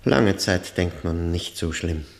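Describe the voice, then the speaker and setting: An actor in a German radio play playing an embittered life prisoner, brooding and haunted, with a gravelly voice and deliberate, weighty delivery. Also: male voice, sits low, animated, full character voice. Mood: bitter irony. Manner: deliberate, halting, repeating words with grim weight. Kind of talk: German radio play acting, dramatic monologue.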